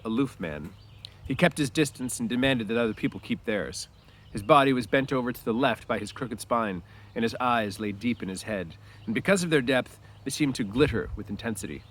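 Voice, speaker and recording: Male read-aloud narrator, medium pitch, teacherly and explanatory, informal asides, some throat-clearing. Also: fairly bright tone.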